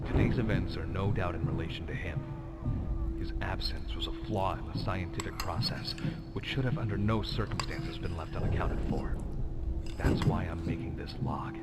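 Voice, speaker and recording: An actor playing a cold, ambitious scientist recording a formal, clinical log in a video game. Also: male voice, low voice, close to an everyday voice. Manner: clinical, formal, self-important. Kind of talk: scripted game audio log.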